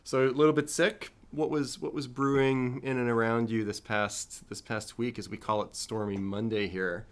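A man's voice: mid-range voice, fairly even intonation, moderate pace, few pauses. A man hosting a podcast, opening the show and prompting his guest with friendly, upbeat questions. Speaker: podcast host.

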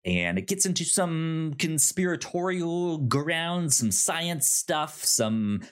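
A man co-hosting a review podcast in casual chat, with a halting, thinking-aloud delivery. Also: on the high side, very animated, fairly bright tone, clear, resonant tone. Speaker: podcast co-host.

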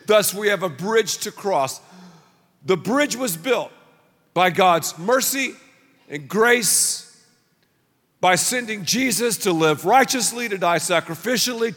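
A middle-aged man preaching a sermon as pastor, telling stories with animated delivery and shouting out acted-out lines. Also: sits high, slow, big-room sound.

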